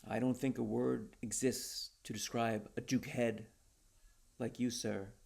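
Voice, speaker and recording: Man acting a sly storybook peddler, cocky, proud, theatrical, gravelly, husky. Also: mid-range voice, flat delivery, a little slow, darkish tone. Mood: taunting. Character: cocky, sly male word inventor and seller.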